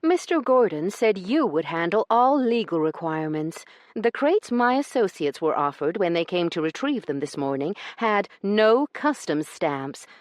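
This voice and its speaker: Woman voicing a sharp-tongued proper lady. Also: on the low side, sing-song, normal speed, no room sound, clean studio sound, pitched above the performer's own voice. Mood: indignant. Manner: clipped and proper, cutting. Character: prim, sharp-tongued young lady, indignant.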